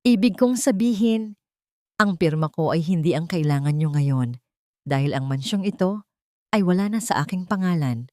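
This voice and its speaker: A woman voicing an elderly wronged mother in an audio drama, defiant and speaking with slow, ominous deliberation. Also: fairly low pitch, animated, warm tone, no room sound, clean studio sound, lightly acted.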